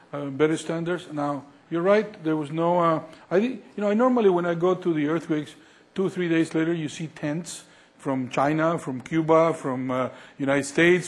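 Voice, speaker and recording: A middle-aged man from Peru, an engineer answering audience questions after a lecture, talking in a halting way with many fillers and restarts, recorded on a lapel mic in a lecture hall. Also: on the high side. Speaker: structural engineer presenting. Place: lecture hall with projection screen.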